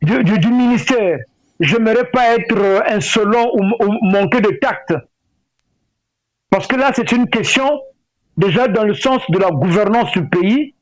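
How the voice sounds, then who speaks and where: A middle-aged man with a Congolese French accent, speaking as an interview guest in a halting way with repeated words. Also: high-pitched, dry recording. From Brazzaville, Republic of the Congo. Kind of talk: spoken interview, opinionated discussion. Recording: dull lo-fi radio sound.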